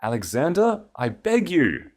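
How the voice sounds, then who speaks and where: A man's voice: fairly high pitch, huge pitch swings, a little slow, darkish tone, voice pushed up, exaggerated voice. A haughty, scheming noblewoman, acted by a male narrator in a storybook romance. The voice is lifted well above the narration, desperate and pleading to the edge of hysteria.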